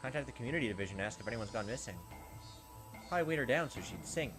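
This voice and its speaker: A blunt and callous police detective from a video game, played by a man. His middle-pitched voice moves around a lot, and light music runs underneath. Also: expressive, racing, natural voice. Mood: businesslike. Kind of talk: visual novel character read-aloud.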